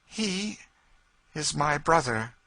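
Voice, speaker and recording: A man's voice: a little high, expressive, normal speed, pushed and bright, no echo, voice pushed up. A man reading an audiobook, voicing a proud, grieving freed woman who asks eager, emotional questions. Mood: tearful pride. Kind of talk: audiobook character voice.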